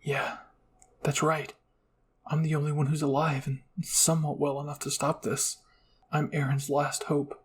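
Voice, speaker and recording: A man's voice: fairly high pitch, level tone, measured pace, breathy edge. A man voicing the inner thoughts of a wounded young girl fighter, anguished and determined, in a dramatic fan fiction. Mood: dawning resolve. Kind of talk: first-person inner monologue, ASMR fan fiction.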